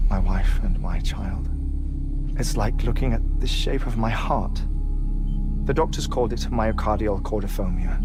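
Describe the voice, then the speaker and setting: An actor playing an eccentric, grieving middle-aged male researcher in a cinematic video game, speaking in a measured, articulate, theatrical way. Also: fairly low pitch, close to an everyday voice. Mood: wistful, morbid.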